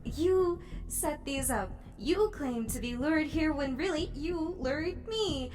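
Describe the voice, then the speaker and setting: A woman's voice: high voice, expressive, takes it slowly. An actress playing a wary, frightened young woman in an audio drama, hesitant and guarded as she speaks. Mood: accusing, frightened.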